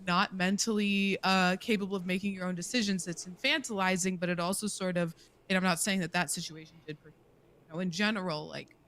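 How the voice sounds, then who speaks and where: A woman's voice: lowish voice, fairly even intonation, brisk, a bit bright. A woman hosting a casual streamed panel chat, talking with passion and plenty of fillers as she makes her points. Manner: impassioned, rambling with fillers. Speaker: panel host.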